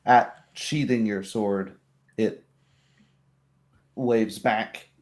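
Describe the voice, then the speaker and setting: A man narrating a tabletop roleplaying game as game master, in measured, hesitant phrases. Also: fairly low pitch, fairly even intonation.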